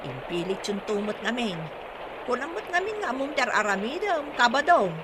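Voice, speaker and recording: A woman's voice: on the high side, big pitch swings, fairly quick. A woman with an Ilocano accent playing a scolding village health worker in a radio comedy drama. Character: scolding female barangay health worker on duty. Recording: noisy radio drama with ambient effects.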